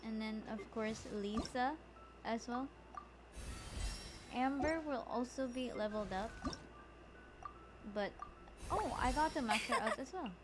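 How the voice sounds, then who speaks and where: High-voiced woman live streaming. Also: measured pace, pauses often, background noise. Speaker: game streamer. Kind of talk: casual live gaming commentary.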